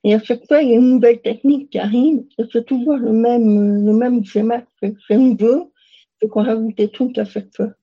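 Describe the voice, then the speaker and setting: Young woman, hesitant and breathy. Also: on the high side, steady tone, brisk, dull audio. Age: young adult. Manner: hesitant, many fillers and restarts. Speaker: interview guest. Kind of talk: casual interview answers.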